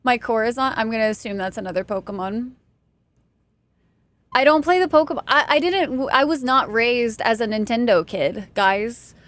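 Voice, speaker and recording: A woman's voice: a little high, animated, normal speed, a bit bright. Chatty, self-mocking young woman streamer. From Texas. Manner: chatty, self-deprecating, laughs often. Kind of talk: casual chat with stream chat while gaming.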